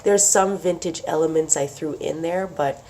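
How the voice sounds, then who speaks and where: Young woman vlogger, hoarse and sniffly from a cold, chatty and giggly, low gravelly voice. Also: moderate pace, darkish tone, slight background noise. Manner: chatty, laughs often. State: losing her voice, sick and sniffly. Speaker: craft vlogger. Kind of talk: casual show-and-tell.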